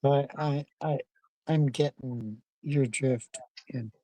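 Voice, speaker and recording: A man's voice: medium pitch, slow pace, warm tone, no room sound. A man in a casual online debate as a guest, cutting in and repeating short phrases. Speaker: discussion guest.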